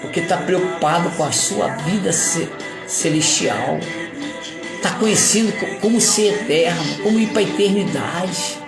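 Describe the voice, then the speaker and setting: A male preacher with a Carioca accent delivers a rambling, emphatic sermon full of playful asides. His voice has a gravelly edge. It rings through an echoey room with music playing underneath. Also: high-pitched, unhurried. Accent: Carioca Portuguese. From Rio de Janeiro.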